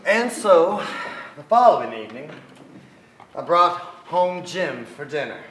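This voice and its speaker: Brooding son, echoey stage. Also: male voice, on the high side, very animated, measured pace, fairly bright tone. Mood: wry, remembering. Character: brooding, restless adult son, narrator of memories. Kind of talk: theatrical staged reading. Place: theatre stage before an audience. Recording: echoey theatre stage, some noise.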